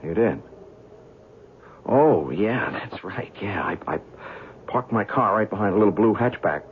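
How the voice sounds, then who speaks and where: Young male reporter, skeptical and curious, lively swooping delivery, tinny old radio sound, radio drama. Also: on the low side, measured pace. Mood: curious, flustered. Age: young adult. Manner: hesitant, stammering in dialogue. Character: young skeptical male newspaper feature writer, chatty and curious. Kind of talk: old-time radio drama acting, first-person narration and dialogue. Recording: tinny, band-limited old radio recording, faint noise.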